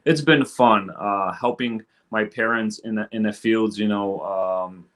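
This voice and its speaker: A man's voice: fairly low pitch, a little slow, fairly bright tone. A middle-aged man from Poland, casually recollecting as an interview guest. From Poland, later Chicago from age twelve. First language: Polish. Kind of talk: casual personal recollection in conversation.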